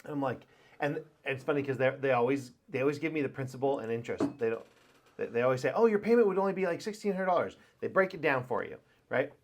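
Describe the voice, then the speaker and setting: Male co-host, casual and rambling, mid pitch; acts out quotes. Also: animated, fast, slight background noise. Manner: rambling, acts out phone calls in quoted voices. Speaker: podcast co-host. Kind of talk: casual chat.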